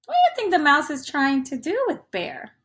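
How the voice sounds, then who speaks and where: A woman's voice: sits high, wildly swooping pitch, moderate pace, fairly bright tone. A woman reading a picture book aloud to young children in an interactive, teacherly way, often putting questions to the listener.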